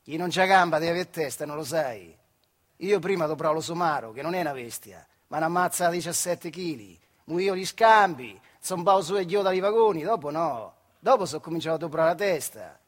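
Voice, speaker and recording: A man's voice: fairly high pitch, expressive, fairly quick. A male actor playing a bossy, boastful lame factory foreman in a stage monologue, emphatic and coarse, with a central Italian accent from the Marche. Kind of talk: theatrical monologue in dialect.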